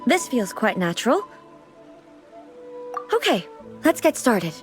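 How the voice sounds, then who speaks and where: A dignified female ruler for a video game. Her voice is a little high and very deliberate in pace. She sounds formal, earnest and slightly stiff, with music underneath. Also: sing-song. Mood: hesitant. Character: dignified, formal female ruler, naive and puzzled by everyday things.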